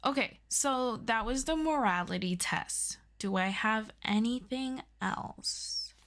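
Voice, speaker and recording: A woman's voice: fairly high pitch, takes it slowly, a bit bright. A woman acting as an earnest, chatty superhero best friend in an ASMR roleplay, rambling and hesitant with affection. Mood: awkward, affectionate.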